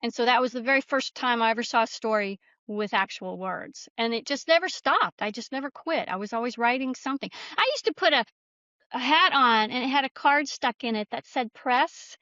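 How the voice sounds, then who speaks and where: A woman's voice: a little high, brisk, pushed and bright, no room sound. A woman guest telling personal stories casually in an anecdotal way, on slightly dull audio. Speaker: podcast guest, novelist.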